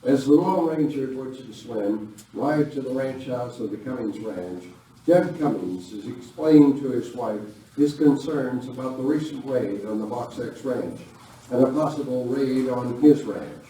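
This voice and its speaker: A man's voice: mid-range voice, a little slow, mellow. A man reading scripted narration for an amateur live radio western. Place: village hall live performance. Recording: very echoey room, distant, faint noise.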